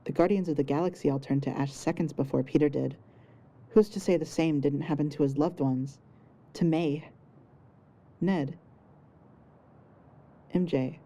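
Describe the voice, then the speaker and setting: A woman's voice: low voice, measured pace, pauses often, warm tone. A woman reading scripted fiction narration as the storyteller of an audio story.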